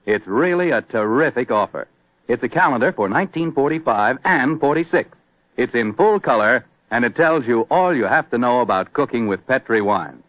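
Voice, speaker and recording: Animated American 1940s radio announcer, male. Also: medium pitch, moderate pace, no echo, narrow, tinny audio. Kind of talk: 1940s radio sponsor pitch and hosting. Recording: thin, band-limited 1940s radio recording.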